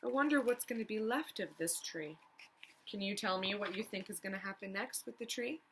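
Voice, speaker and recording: A woman reading a children's storybook aloud as a teacher, expressively, pausing to prompt young listeners with questions. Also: mid-range voice, a bit bright, breathy edge, poor audio.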